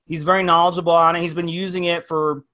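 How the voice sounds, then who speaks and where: Upbeat, high-voiced man hosting a podcast. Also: little pitch movement, fast, bright, forceful tone. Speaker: podcast host. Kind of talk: casual podcast chat.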